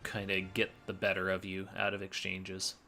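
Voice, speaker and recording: A man commentates on a video game run, explaining as he goes. His voice sits somewhat low yet has a bright, forward edge, with light music underneath. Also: monotone, normal speed, creaky. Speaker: speedrun commentator.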